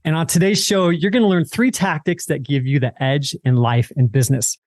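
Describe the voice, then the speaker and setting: Higher-pitched male podcast host, dry sound. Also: fairly even intonation, fairly quick, a little mellow, dry recording, soft background music. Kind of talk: conversational podcast interview.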